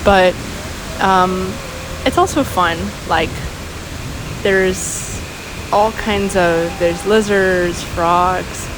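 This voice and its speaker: A woman doing a casual, musing voice-over in halting thoughts, recorded outdoors beside a waterfall. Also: lowish voice. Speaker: vlogger. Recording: steady waterfall noise behind voice. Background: steady rushing water.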